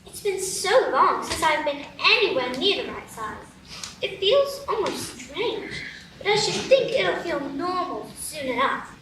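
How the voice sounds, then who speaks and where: A woman's voice: very high-pitched, sing-song, unhurried, full character voice. A woman voicing a polite, earnest little girl in a live radio play, speaking in a prim, proper way. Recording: roomy stage from a distance, faint hiss.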